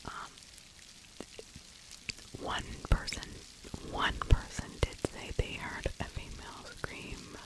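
A middle-aged woman whispers a true crime ASMR commentary in a hushed, conversational way, very close to the microphone. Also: slow pace, pauses often, poor audio. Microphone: large fluffy-covered microphone held very close to the mouth.

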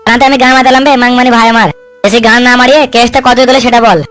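Casting: female actor as bullying male politician for a comedy cartoon. High-pitched, rapid-fire, coarse, short-tempered. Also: steady tone, a bit bright, lightly acted. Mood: suspicious. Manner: coarse, swearing, hectoring with repeated tag questions. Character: foul-mouthed, hot-tempered bullying male politician, threatening and domineering. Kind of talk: comic cartoon dub dialogue.

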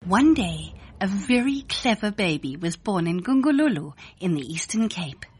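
A woman reading a children's storybook aloud as a scripted narration, with deliberate, expressive phrasing. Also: mid-range voice, slightly rough.

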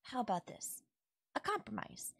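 A sweetly controlling fairy woman, acted by a voice actress for an intimate audio roleplay. Her voice is husky and close, with a crackle of fry and a very dry sound. She coaxes and teases with doting, possessive affection. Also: fairly high pitch, sing-song, normal speed, no echo, full character voice. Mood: playful, coaxing. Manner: coaxing, affectionate, softly teasing. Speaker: voice actor. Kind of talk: intimate audio roleplay, ASMR-style acting.

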